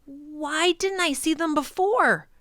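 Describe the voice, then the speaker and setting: A woman's voice: high voice, takes it slowly, a bit bright, no echo, clean studio sound, voice pushed up. A woman voicing an earnest, amazed child in a children's storybook, drawing out words with wonder. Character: wide-eyed child, earnest and amazed, discovering things. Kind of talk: children's storybook read-aloud.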